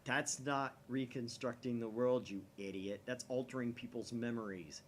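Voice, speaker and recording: A man's voice: mid-range voice, moderate pace, lightly acted. A man voicing a scornful, argumentative internet poster from a visual novel, indignant and mocking. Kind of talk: visual novel read aloud. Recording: faint background noise.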